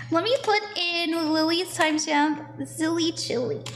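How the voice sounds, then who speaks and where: A young woman from the southern United States, chatting with viewers while giving live palm readings, bubbly and quick to laugh. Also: extremely high pitch, expressive, fairly bright tone, a little hiss or hum.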